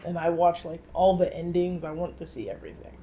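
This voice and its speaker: Co-host, woman, lowish register. Slangy, animated, opinionated chat, some room noise behind. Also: a little fast. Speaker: co-host of a video game film review show.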